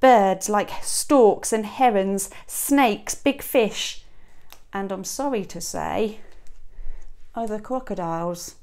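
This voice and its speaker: Woman reading a picture book expressively. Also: mid-range voice. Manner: expressive, dramatic emphasis and pauses. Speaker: teacher reading to a young class. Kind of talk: children's picture book read-aloud. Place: small classroom, indoors. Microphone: clip-on lapel mic.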